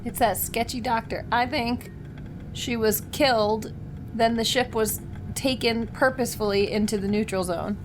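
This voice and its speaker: Female tabletop player, slightly high voice, playful casual chat, noisy recording with low rumble. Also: fairly even intonation, moderate pace.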